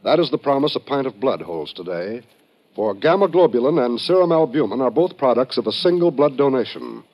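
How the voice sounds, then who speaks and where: An American man announcing on 1950s radio in a scripted, solemn, measured style, on a muffled vintage recording. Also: mid-range voice, animated.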